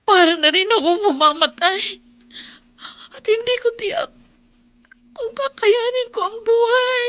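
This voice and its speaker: High-voiced, sorrowful young daughter. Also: female voice, measured pace, a bit bright, no echo, dull audio. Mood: anguished. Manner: plaintive, melodramatic. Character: grieving, despairing young daughter. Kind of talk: Filipino radio drama acting.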